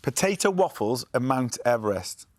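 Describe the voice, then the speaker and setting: A man's voice: mid-range voice, sing-song. A man with a cold interviewing a guest in a casual, jokey way, often stumbling and restarting his sentences. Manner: jokey, halting, many restarts. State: has a cold. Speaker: radio presenter, interviewer.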